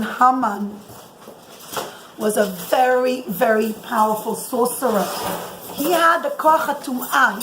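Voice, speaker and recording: High-voiced woman storyteller in an echoey room. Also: a bit bright. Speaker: mother telling a bedtime story to children. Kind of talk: informal religious storytelling for children. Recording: echoey room with clear background noise.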